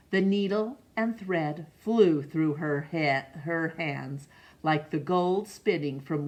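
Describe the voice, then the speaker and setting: A middle-aged woman reading a picture book aloud in a measured, expressive way for children. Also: low voice. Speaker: storytime reader. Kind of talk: read-aloud storybook narration. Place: small room indoors.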